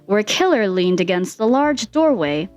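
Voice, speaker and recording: Expressive woman narrator with lively pitch. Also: measured pace. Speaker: fan fiction narrator. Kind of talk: expressive scripted story narration.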